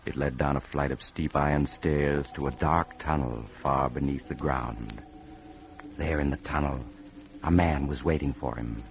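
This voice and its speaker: An actor playing a mysterious storyteller, narrating a 1950s radio mystery in a deliberate, suspenseful manner. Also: male voice, extremely low pitch, steady tone, faint music behind. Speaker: host and narrator. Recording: muffled vintage radio recording.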